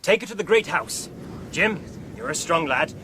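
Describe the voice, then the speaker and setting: An actor playing a commanding, dutiful revenue supervisor in a period radio adventure drama. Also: male voice, on the high side, animated, normal speed, pushed and bright, slight background noise. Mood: brisk command.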